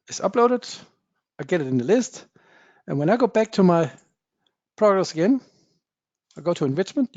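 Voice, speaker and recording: Man giving a software demo. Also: fairly high pitch, dry recording. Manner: plain explanatory talk with frequent uh fillers, non-native phrasing. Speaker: software presenter. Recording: dull dry webinar audio.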